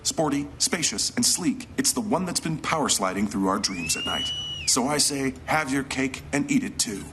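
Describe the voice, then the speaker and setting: A man's voice: medium pitch, huge pitch swings, normal speed, noisy background. A man narrating a scripted, dramatised car commercial. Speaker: commercial voice-over announcer. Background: music.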